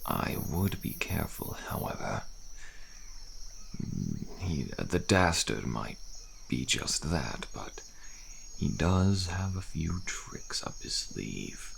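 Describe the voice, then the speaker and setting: A man voicing a world-weary, bitter deserter soldier on the run in an audio roleplay, speaking in a gravelly, breathy murmur with halting, musing phrases. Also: very deep voice, very animated, a bit bright, a little hiss or hum, heavily acted. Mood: cautionary. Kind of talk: audio roleplay, slow addressed monologue. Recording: faint outdoor night insect ambience.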